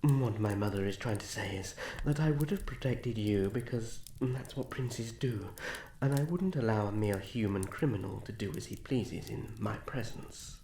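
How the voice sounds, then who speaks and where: A woman's voice: extremely low pitch, dark tone. A woman voicing a young male elf prince, earnest, proud and protective, with formal, courtly speech in a fantasy audio roleplay. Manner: formal, hesitant pauses, courtly. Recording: roomy sound with faint background noise.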